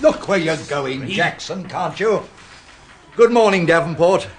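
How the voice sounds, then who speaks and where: An actor playing a fussy, prim, cautious old accountant in a radio comedy drama, peevish and pompously precise. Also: male voice, on the high side, lively intonation, unhurried, noisy background. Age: older.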